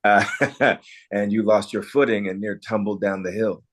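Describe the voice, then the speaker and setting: A man's voice: fairly low pitch, flat delivery, measured pace. An actor reading an earnest, nostalgic small-town man in a holiday romance table read.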